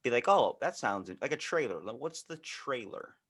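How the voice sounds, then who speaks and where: A man hosts a casual podcast in a mid-range voice. He tells his stories in an animated, conversational way. Swear words slip in easily. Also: mid-range voice, normal speed. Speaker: podcast host.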